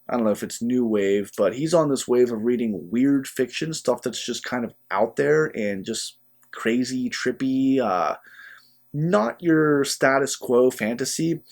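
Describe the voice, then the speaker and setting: A man's voice: medium pitch, a little mellow. Sniffly young man with a cold. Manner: chatty and easygoing, many filler pauses. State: sick and sniffly. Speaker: book channel host. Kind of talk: casual vlog talk to camera.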